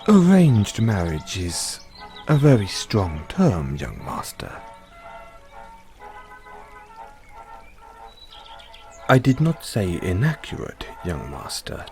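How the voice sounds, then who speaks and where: A man with a British accent playing a formal, devoted butler who gently chides his young master in an audio roleplay. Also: sits low, wildly swooping pitch, soft background music, heavily acted. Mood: gentle, apologetic. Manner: formal, measured, deferential. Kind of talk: scripted roleplay, intimate second-person address.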